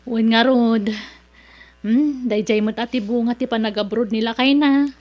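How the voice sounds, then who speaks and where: Gentle, high-voiced Ilocano woman. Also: steady tone, unhurried. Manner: gentle and pleading. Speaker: humble mother. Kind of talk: Ilocano audio drama acting.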